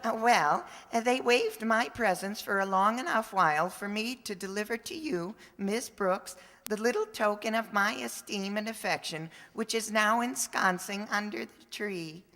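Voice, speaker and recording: A woman playing an earnest, pompous and awkward high school boy in an old-time radio comedy, speaking in flowery phrases. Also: unhurried, hardly any pauses, a bit bright. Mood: eager, pompous. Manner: flowery, mangled formal wording. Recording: echoey hall, long reverb.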